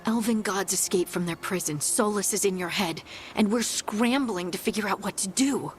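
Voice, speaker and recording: A woman's voice: medium pitch, moderate pace, soft background music, lightly acted. Actress as earnest, determined young scout woman. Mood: frustrated, self-blaming. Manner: plain-spoken, earnest. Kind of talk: fantasy video game voice acting.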